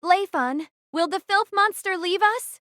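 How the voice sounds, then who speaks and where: A woman's voice: extremely high pitch, a little slow, sharp tone, no echo, clean studio sound, exaggerated voice. A woman voicing a young female squad captain who gives firm, decisive orders in an audio drama. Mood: commanding. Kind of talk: fan audio drama acting.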